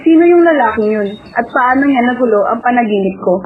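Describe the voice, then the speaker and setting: A young woman acting as a dreamy, self-doubting high school girl who narrates her own story in a radio drama, mixing in English. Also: animated, fast, lightly acted. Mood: reflective. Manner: code-switches into English. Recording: tinny, phone-like audio with hiss.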